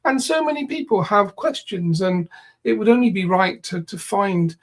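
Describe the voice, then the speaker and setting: A man's voice: high-pitched, expressive, normal speed. A man channeling a male spirit guide who speaks formally as "we" and calls his co-host "my dear". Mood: calm, explanatory.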